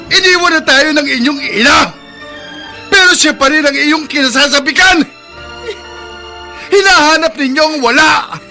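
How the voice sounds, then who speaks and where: Radio drama casting: bitter scolding dad, strained high pitch, hard forceful tone. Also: male voice, moderate pace, pauses often, soft background music, heavily acted. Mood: bitter, wounded. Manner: scolding, loud, aggrieved. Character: embittered, hot-tempered father of two children. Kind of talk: Filipino radio drama acting.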